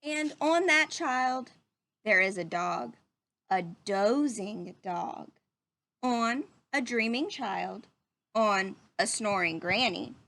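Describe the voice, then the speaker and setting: A woman's voice: mid-range voice, lively intonation, slow, a bit bright. A woman reading a picture book aloud to young children like a teacher, drawing out phrases and putting questions to her listeners.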